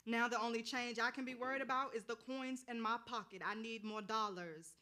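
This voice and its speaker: An actress plays an American fortune-telling hustler in a stage drama, a hard-bitten woman with no patience. Her voice is fairly high and forceful, blunt and streetwise as she bosses people around. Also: steady tone, brisk. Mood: guarded, businesslike. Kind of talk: staged play reading.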